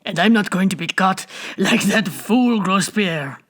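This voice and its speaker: A woman voicing a boastful, gruff male gate guard of the French Revolution in a storybook reading. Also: medium pitch, lively intonation, a little slow, a bit bright. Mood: self-important. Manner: gruff, blustering.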